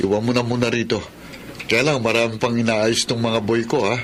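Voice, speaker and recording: A man playing a calm, practical auto shop owner, talking casually in a Tagalog radio drama. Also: mid-range voice, fairly quick, lightly acted. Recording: clear background noise.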